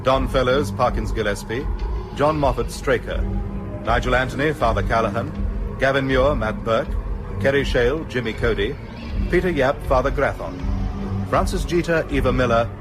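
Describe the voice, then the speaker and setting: A man's voice: medium pitch, normal speed, music in the background. A man announcing scripted broadcast drama credits in a formal read.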